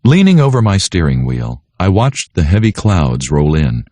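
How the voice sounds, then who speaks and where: A man's voice: low voice, sing-song, moderate pace, dark tone, no room sound. A man reading a children's story aloud as a scripted narration. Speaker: audiobook narrator.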